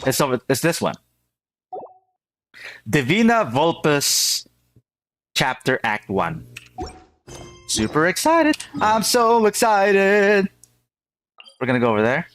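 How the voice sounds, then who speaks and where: A man's voice: fairly high pitch, wildly swooping pitch, takes it slowly, pauses often, fairly bright tone. A man chatting casually to viewers as a game streamer, rambling and often repeating his phrases. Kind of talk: casual livestream chat to viewers.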